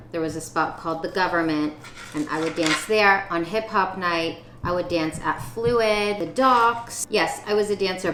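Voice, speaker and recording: A woman in her thirties chats casually and confidently as she cooks, tossing in playful asides. Her voice sits slightly low. The kitchen echoes, with a faint hiss and a sizzling pan behind her. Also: fairly bright tone. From Canada. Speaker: vlogger. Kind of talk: casual chat while cooking. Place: home kitchen.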